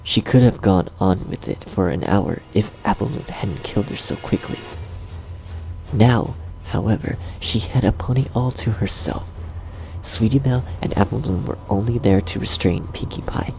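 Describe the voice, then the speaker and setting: A man's voice: on the low side, moderate pace, gravelly, warm tone, low-quality recording. A man reading scripted horror story narration as the narrator. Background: music.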